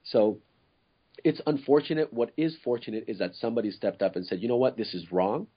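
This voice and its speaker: Husky-voiced man from Ecuador, earnest. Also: medium pitch, brisk, highs cut off. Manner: earnest, searching for words with many fillers. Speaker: co-host. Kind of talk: casual podcast discussion.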